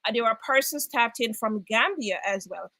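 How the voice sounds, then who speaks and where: A woman's voice: a little high, normal speed, dry recording. A Jamaican woman hosting a live online broadcast, chatting casually, laughing often and greeting viewers. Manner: lively, laughs often, reads out viewer comments. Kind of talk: casual live-stream chat.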